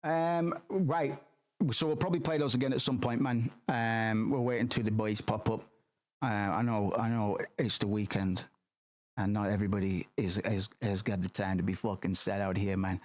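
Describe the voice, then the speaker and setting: A hoarse man rambling casually on a live stream, swearing often and tagging "man" onto his sentences. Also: on the low side, fairly even intonation, normal speed. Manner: rambling, profane, keeps saying "man".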